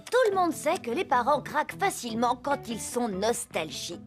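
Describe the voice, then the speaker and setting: A woman voicing a whiny, scheming preteen boy in a French animated series, pleading and plotting expressively. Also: a little high, moderate pace. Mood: sly, plotting. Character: scheming, whiny preteen boy attached to his old toys. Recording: background music under the voice.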